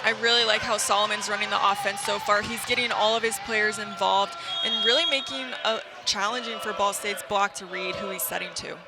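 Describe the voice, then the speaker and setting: A man's voice: sits high, fairly even intonation, a little fast, very noisy. A man commentating live on a volleyball match, breaking down the offense and the plays. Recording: loud crowd and music behind broadcast.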